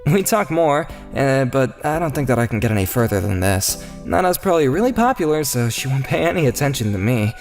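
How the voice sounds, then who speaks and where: A man's voice: mid-range voice, sing-song, a little fast, hardly any pauses, soft background music. A man narrating a scripted first-person story as its narrator.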